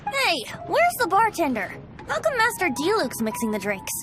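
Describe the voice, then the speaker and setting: High, lilting, youthful bard. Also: female voice, huge pitch swings, normal speed, noisy background, full character voice. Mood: cheerful, breezy. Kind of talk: English video game voice acting. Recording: music playing behind the voice.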